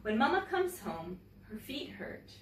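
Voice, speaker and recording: A woman reads a children's story with very lively, swooping intonation at a medium pitch. She sounds like a teacher, shaping phrases expressively and speaking directly to young listeners. The recording is roomy and a little distant from the mic. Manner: expressive, pausing to comment and ask young listeners questions. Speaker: teacher and story-time host. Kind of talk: read-aloud picture book storytelling for children. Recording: roomy, distant mic, faint background noise.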